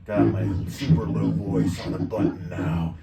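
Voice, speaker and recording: A man voicing a swaggering badass dragon through a pitch shifter that lowers his voice, with profane bravado. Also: sing-song, unhurried, vocal fry, over a noisy crowd, exaggerated voice. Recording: roomy, distant mic, background noise.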